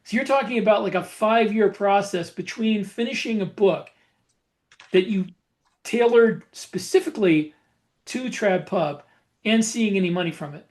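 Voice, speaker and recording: A man's voice: high-pitched, moderate pace. A man co-hosting a podcast, explaining things in a casual, matter-of-fact way. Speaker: podcast co-host, indie author. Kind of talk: casual advisory chat.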